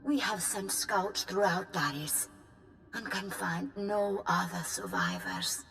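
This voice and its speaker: A woman's voice: fairly low pitch, sing-song, slow pace, fairly bright tone, faint music behind, full character voice. A woman playing a grave, mournful survivor recording a solemn journal in a video game.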